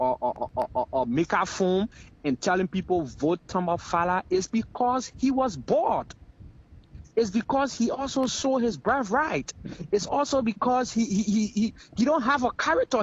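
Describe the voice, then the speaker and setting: A man's voice: sits high, dull audio. A man with a Liberian accent giving heated political commentary as a talk show guest, emphatic and repeating phrases for effect.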